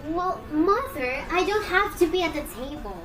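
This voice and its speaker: A woman acts out a helpful society daughter in an amateur play. Her young voice is high, with music behind it. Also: expressive, normal speed. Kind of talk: amateur one-act play acting.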